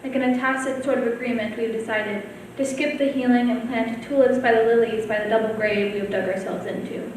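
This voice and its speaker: A teenage girl, a high school student, reciting her own poem at a reading. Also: steady tone, brisk, few pauses. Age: teens. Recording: very echoey room, distant mic, faint noise.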